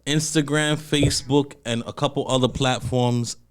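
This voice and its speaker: A man talking as a podcast guest, animated and insistent, repeating himself as he makes his point. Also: mid-range voice, moderate pace, clean tone. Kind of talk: casual podcast chat.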